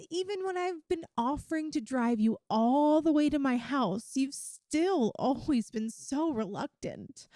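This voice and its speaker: Woman doing a sweet obsessive yandere girlfriend for asmr, high soft-toned mellow voice, coy and chatty. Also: dry recording, clean studio sound, natural voice. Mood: sweetly doting. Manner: coy, chatty, halting with drawn-out emphasis. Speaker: audio roleplay performer. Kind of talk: ASMR girlfriend roleplay addressed to the listener.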